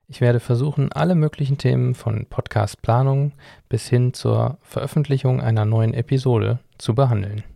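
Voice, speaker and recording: A man's voice: medium pitch, gravelly, warm tone, no echo, studio quality. A young adult man hosting a solo podcast, reading from prepared notes. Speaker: podcast host. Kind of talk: scripted solo podcast narration.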